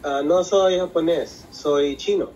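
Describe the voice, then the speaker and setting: A man works through practice sentences slowly and a bit hesitantly, correcting his own slips. His voice is slightly high, heard over a video call with faint noise behind it. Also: a little mellow, dull audio. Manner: hesitant, careful, self-correcting. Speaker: student. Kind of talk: practicing phrases in a class.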